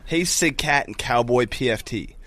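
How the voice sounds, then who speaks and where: A man's voice: medium pitch, moderate pace, fairly bright tone. A man on a podcast reading listener questions aloud in a casual style.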